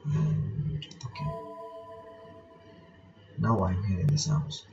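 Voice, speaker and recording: A man giving casual playthrough commentary and reading game text aloud, halting and often repeating his words. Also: fairly low pitch, little pitch movement, vocal fry, highs cut off, noisy background. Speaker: gaming reaction host.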